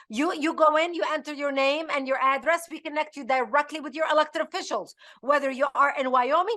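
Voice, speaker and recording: A woman's voice: high voice, sharp tone. A middle-aged woman from Lebanon, hosting a political advocacy show in an urgent, emphatic way. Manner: emphatic, urgent, with frequent restarts. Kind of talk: impassioned political talk.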